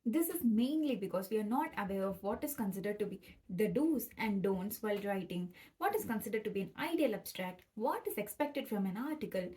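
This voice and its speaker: A woman's voice: medium pitch, a little mellow, husky. A young woman, a native Tamil speaker with a Tamil accent, presenting an educational explainer step by step as a student addressing her viewers. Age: young adult.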